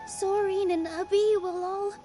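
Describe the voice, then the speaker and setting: A woman playing a gentle, modest, earnest young dancer girl in an anime game. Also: extremely high pitch, fairly even intonation, measured pace, soft background music. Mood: worried.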